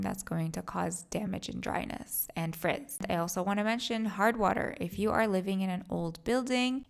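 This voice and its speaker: Creaky-voiced woman giving casual advice. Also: medium pitch. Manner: chatty, conversational, emphatic. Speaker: podcast host and hairstylist. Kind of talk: casual solo podcast advice talk.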